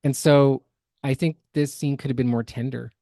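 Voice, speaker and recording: A man's voice: medium pitch, steady tone, normal speed, creaky, warm tone, no room sound. Man with dry, close podcast sound. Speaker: podcast co-host. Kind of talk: casual critical discussion.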